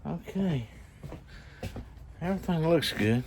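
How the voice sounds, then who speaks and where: A man's voice: on the high side, lively intonation, slow, darkish tone. A man with a slightly scratchy throat, rambling folksily in a casual vlog with lots of fillers. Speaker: vlogger. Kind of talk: casual rambling monologue to camera.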